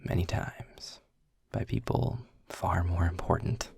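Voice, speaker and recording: A man playing a sarcastic, worldly demon mentor in an intimate audio roleplay, with dry, deliberate phrasing and a low, husky, gravelly voice. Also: darkish tone, no echo, heavily acted. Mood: earnest, resentful. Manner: dry, sardonic, breathy asides, deliberate phrasing. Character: sarcastic, snarky adult male demon mentor, worldly and proud. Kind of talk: intimate audio roleplay acting, addressed to the listener.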